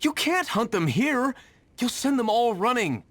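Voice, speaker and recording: A husky, stern hunter. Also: female voice, on the high side, big pitch swings, a little slow, no echo, faint music behind. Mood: firm. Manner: firm and reproachful. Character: stern adult female hunter. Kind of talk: video game dub.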